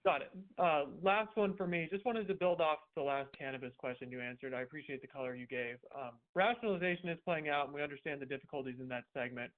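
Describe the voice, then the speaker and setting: A man's voice: a little high, fast talker, few pauses. A man asking questions as a financial analyst on an earnings call. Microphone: conference call line. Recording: thin, phone-like call audio.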